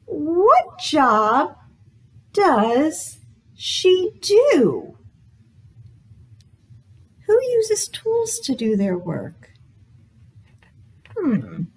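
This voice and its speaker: High, very slow, animated woman reading to children. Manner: exaggerated, encouraging, asks questions with pauses for guesses. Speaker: children's librarian, storytime host. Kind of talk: read-aloud storytime for young children.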